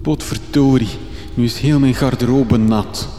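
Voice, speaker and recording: A man with a Flemish accent playing a fussy, excitable young reporter on an adventure in a radio drama. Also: medium pitch, unhurried, lightly acted. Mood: fussy, curious. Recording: echoey cave reverb, noisy ambience.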